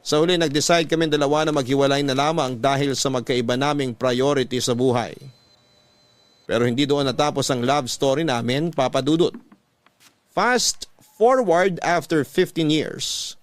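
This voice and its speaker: A man playing a middle-aged Filipino nursing assistant who tells his love story in a sincere, reflective way. The voice is medium-pitched with a Filipino accent, delivered as scripted radio narration. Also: moderate pace, no room sound, natural voice. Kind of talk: scripted first-person radio letter narration.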